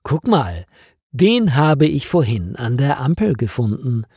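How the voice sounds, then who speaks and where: Man voicing an exasperated office woman, slow and animated. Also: medium pitch, slightly rough, dry recording, studio quality, pitched above the performer's own voice, exaggerated voice. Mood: pondering. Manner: muttering, complaining. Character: impatient female office worker, easily exasperated. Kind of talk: storybook character dialogue.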